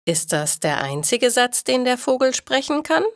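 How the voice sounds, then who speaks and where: A woman's voice: fairly high pitch, sing-song, normal speed, no room sound, pitched above the performer's own voice. Storybook role: helpful, cheerful young man, read by a woman, friendly emphasis, very dry recording. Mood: curious.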